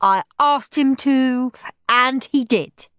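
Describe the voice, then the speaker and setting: A woman's voice: high-pitched, takes it slowly, clear, resonant tone, no room sound, studio quality, pitched above the performer's own voice. A woman voicing a mischievous, greedy young boy in a storybook reading. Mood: gleeful shouting. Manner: excited, scheming.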